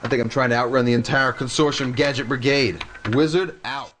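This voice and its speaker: An actor playing a cocky, grumbling resistance comms hacker in a video game, talking in a muttering, sardonic, informal way. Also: male voice, mid-range voice, fairly even intonation, brisk, fairly bright tone, natural voice. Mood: urgent, harried. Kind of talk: video game cutscene acting. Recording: clear background noise, keyboard clicks.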